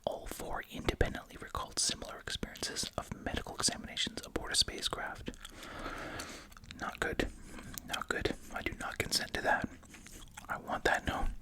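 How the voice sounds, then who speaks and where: An ASMR host whispering close to the mic while chewing gum, reading aloud with casual, musing asides. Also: normal speed. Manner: informal, musing asides in a hushed voice. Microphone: close ASMR microphone.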